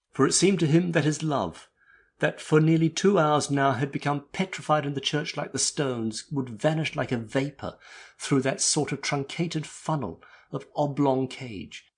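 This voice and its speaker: A man narrating a classic novel as a dramatic audiobook, with a husky voice. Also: medium pitch.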